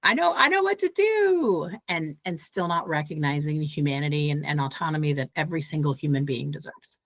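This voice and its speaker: A woman author being interviewed, explaining ideas earnestly with lively asides. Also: sits low, a little fast, no room sound. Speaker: author and guest. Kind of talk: conversational interview.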